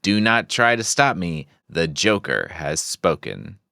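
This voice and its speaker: A man reading a menacing male comic-book villain's broadcast with a slow, deliberate delivery. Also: deep voice, sing-song, vocal fry, bright, forceful tone, dry recording, clean studio sound, full character voice.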